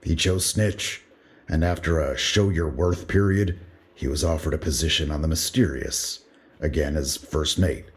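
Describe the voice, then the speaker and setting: A man playing a deep-voiced starship lieutenant. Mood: briefing. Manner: measured, matter-of-fact briefing. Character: lieutenant and fighter pilot on a starship. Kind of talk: audio drama acting.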